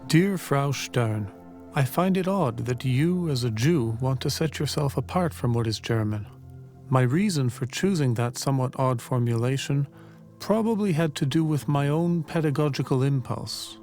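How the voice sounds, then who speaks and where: A man voicing an older male professor, reading a formal letter slowly and deliberately for a documentary. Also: medium pitch, a little mellow, lightly acted. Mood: earnest. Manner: slow, measured, formal. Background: clear music.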